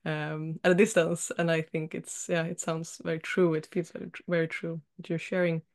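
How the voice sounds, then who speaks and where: Flat-toned woman hosting a gentle interview. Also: low-pitched, moderate pace. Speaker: podcast host. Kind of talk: casual interview. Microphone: video call.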